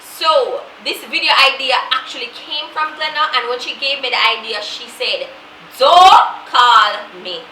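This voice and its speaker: High-voiced Jamaican woman vlogging. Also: animated, a little slow, sharp tone. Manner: chatty, mixes in Jamaican patois. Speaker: vlogger host. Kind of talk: casual vlog chat to viewers. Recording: roomy, distant, noisy.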